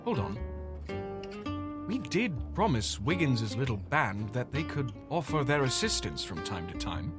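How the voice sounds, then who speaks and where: Exasperated. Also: male voice, mid-range voice, sing-song, measured pace, over music. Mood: frustrated, scheming. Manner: dry, scolding, practical. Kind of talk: audio drama acting.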